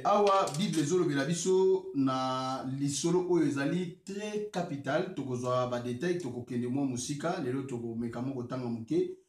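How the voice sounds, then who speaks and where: Congolese male preacher, mid-pitched voice, halting delivery that builds to emphasis, reverberant room. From Congo (DRC). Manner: hesitant, many fillers and restarts; grows louder and emphatic when explaining scripture. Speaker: prophet and preacher. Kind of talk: sermon.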